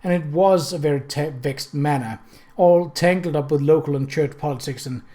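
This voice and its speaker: A calm, firm-minded wife of a lord in a period story, acted out by a man. She is affectionate and frank, speaking briskly in a slightly high voice. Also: close to an everyday voice. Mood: tart.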